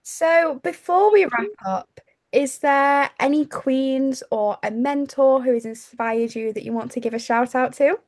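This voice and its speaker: Higher-voiced woman hosting, dry sound. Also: measured pace, a little mellow, dry recording. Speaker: podcast host. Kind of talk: conversational interviewing.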